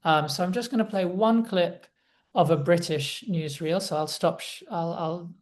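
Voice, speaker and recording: A woman's voice: on the low side, moderate pace. A woman lecturing in an informal academic style, thoughtful and a little hesitant, qualifying her points as she goes. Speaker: lecturer, co-presenter.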